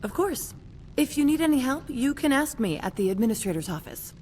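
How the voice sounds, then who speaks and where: A woman's voice: high-pitched, very animated, fairly quick, darkish tone, a little hiss or hum. A woman playing a polite, efficient corporate assistant in a video game, formal and businesslike.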